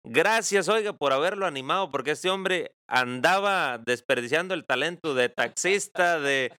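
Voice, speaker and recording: A man's voice: fairly high pitch, animated, normal speed, bright, forceful tone, no room sound, studio quality. A man in his twenties with a Mexican accent, hosting a casual interview. He is chatty and teasing and uses slang. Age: 20s. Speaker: interviewer, host.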